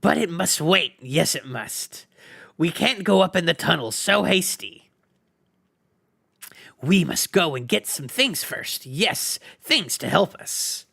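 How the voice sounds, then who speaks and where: A man's voice: on the high side, expressive, slow, gravelly, sharp tone, slightly rough, no echo, studio quality, voice pushed up, exaggerated voice. A man voicing a wretched, sly, greedy male cave creature that hisses and whispers to itself. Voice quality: hissing, whispery.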